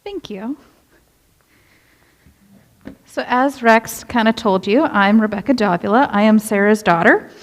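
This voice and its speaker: A woman's voice: mid-range voice, animated, unhurried, pauses often. A young woman, a youth minister, preaching a casual, conversational sermon in a church and asking the congregation questions. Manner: chatty, relatable, asks the congregation questions. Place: church sanctuary.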